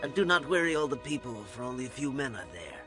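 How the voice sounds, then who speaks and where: A man playing a confident Israelite scout in a dramatized scripture recording, giving a brisk, assured report. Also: medium pitch, very animated, over music, close to an everyday voice. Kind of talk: dramatized scripture audio acting.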